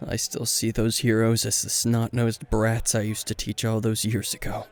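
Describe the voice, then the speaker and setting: A man playing a weary, gruff veteran hero and teacher at a bar, terse and dry, in an intimate roleplay spoken to the listener. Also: lowish voice, completely flat delivery, normal speed, natural voice. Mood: downcast, brooding. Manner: terse, guarded, dry, sighing.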